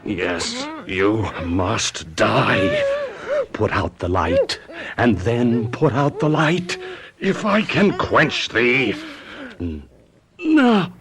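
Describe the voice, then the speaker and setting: An actor playing a greedy, unhinged, scheming husband who has turned murderer, muttering melodramatically in a radio drama monologue. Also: male voice, mid-range voice, wildly swooping pitch, extremely slow, vocal fry, crowd noise, full character voice. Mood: menacing, anguished. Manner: melodramatic, broken muttering, quotes verse. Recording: radio drama with music behind.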